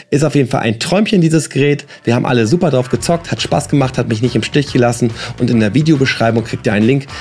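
A hoarse man in his thirties hosting a casual, joking interview on a handheld mic inside a van. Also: mid-range voice, a little mellow. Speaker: host.

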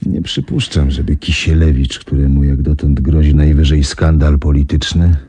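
An actor playing a calm male friend who reasons things through and reassures her. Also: extremely low pitch, moderate pace, breathy edge, heavily acted. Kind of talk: 1990s radio drama acting.

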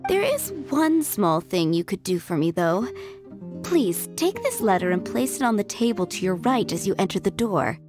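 A woman's voice: medium pitch, sing-song, moderate pace, close to an everyday voice. A woman voicing a polite, composed young head maid in a video game, speaking formally and courteously. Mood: gracious. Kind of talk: video game character dialogue. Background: game music.

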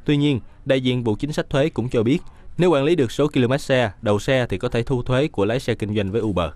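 A man reading scripted news voice-over in a brisk, even newsreader delivery. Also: medium pitch.

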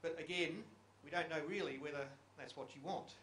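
A man's voice: a little high, fairly quick, a bit bright. A middle-aged man on a conference panel speaking into a handheld mic, reflective and putting questions to the audience. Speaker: geological survey panelist. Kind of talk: panel discussion remarks. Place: conference room. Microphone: handheld microphone. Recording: echoey conference room, faint hiss.

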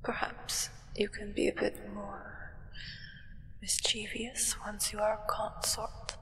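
A hushed, crisp whisper with long reverb carries a devoted female vampire acolyte, acted by a young woman. The delivery is reverent, solemn and deliberate, in the manner of an ASMR roleplay, with a bright edge to the breath. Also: medium pitch, flat delivery. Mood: calm, warning. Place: indoors, small draped set.

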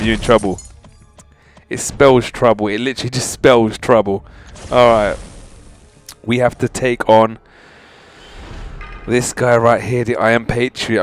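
A man with a slightly varied, mid-pitched voice gives casual gaming commentary over game music. He chats informally to his viewers and tends to repeat himself as he plays. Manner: informal, chatty, addressing viewers directly. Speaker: gaming channel host. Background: video game music.

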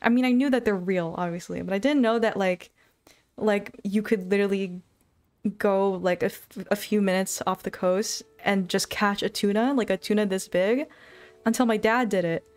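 A woman's voice: mid-range voice, normal speed, darkish tone. A young woman chatting casually and ramblingly while streaming a video game. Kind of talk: casual rambling livestream chat.

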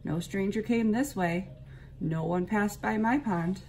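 A woman's voice: medium pitch, a little slow, a little mellow, a little hiss or hum, natural voice. A woman voicing a busy muskrat speaking in a plain, matter-of-fact way.

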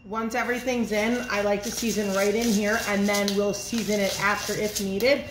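A woman's voice: medium pitch, little pitch movement, moderate pace, noisy background. A woman in her thirties, a chef giving an upbeat, chatty cooking demonstration in her home kitchen, filmed by a camera set in front of her. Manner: upbeat, chatty instructions with frequent fillers. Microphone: camera in front, mid-distance. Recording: roomy kitchen echo with music.

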